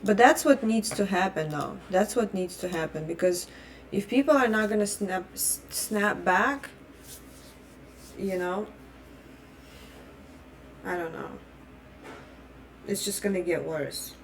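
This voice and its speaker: A woman's voice: mid-range voice, slow, pauses often, a little hiss or hum. A young adult woman from Russia, a native Russian speaker, ranting bluntly with heavy swearing and repeated restarts in her phrasing. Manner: blunt, heated and profane. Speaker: vlogger. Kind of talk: opinion rant.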